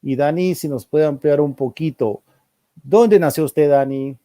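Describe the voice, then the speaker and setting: A man's voice: fairly high pitch, measured pace, dark tone, no echo. A man hosting, courteously and formally introducing a speaker and asking questions. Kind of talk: polite introduction and interview.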